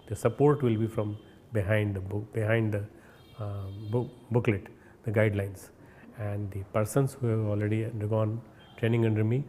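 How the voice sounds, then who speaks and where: Indian-accented man, mellow spiritual talk. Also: lowish voice, a little hiss or hum. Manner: hesitant, repeats and restarts words; earnest, instructive sermon tone. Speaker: spiritual leader. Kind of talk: recorded spiritual message.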